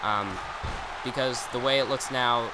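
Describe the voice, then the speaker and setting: A man's voice: medium pitch, fairly even intonation, a little slow, a loud crowd. A man hosting casual commentary over gameplay, thinking aloud and rambling with ums. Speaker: gaming channel host. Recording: loud background noise.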